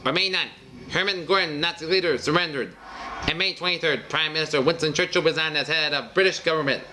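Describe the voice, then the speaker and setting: Higher-pitched man reading newsreel headlines. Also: quick pace, sharp tone, slight background noise, poor audio. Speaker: newsreel narrator. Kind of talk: scripted newsreel narration of dated events.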